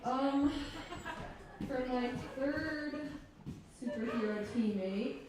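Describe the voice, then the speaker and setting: A teenage female high school athlete answering questions as a guest in a casual interview. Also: takes it slowly. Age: teens. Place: pub stage, live interview. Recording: echoey pub, distant mic, loud background noise.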